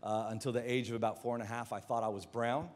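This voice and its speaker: A man with an American accent preaching a lively, joking sermon as a guest pastor, often stumbling and repeating words. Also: medium pitch, fast talker, big-room sound. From Philippines.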